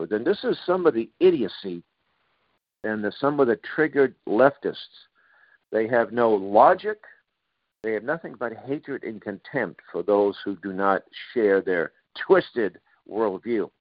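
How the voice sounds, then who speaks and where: Middle-aged male radio host, sarcastic, halting delivery; dull, dry lo-fi sound. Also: on the low side, animated, no echo. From Boston, Massachusetts. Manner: sarcastic, halting with frequent uhs and restarts. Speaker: radio talk show host. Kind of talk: talk radio monologue.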